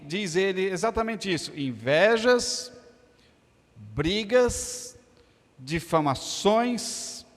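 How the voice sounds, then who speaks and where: A man from Brazil preaching a sermon in a church, joking one moment and stressing lists of words the next. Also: sits high. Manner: humorous, storytelling, emphatic lists. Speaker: pastor. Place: church hall. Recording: church hall reverb.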